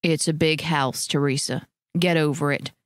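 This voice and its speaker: A grounded, unbending husband, voiced by a woman reading aloud. His lines come lower and slower, calm and firm, in a dry, close recording. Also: dry recording, natural voice. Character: firm, steady husband, warm but unbending.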